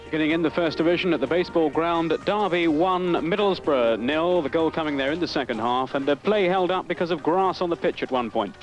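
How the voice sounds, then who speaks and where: A man reading football results on the radio, brisk and matter-of-fact. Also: on the high side, music in the background. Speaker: sports reader. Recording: muffled lo-fi archive radio.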